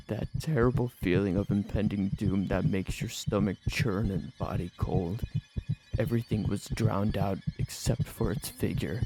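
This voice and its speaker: A man narrating a first-person horror story in a tense, dramatic manner with theatrical pauses. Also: on the low side, gravelly, noisy background.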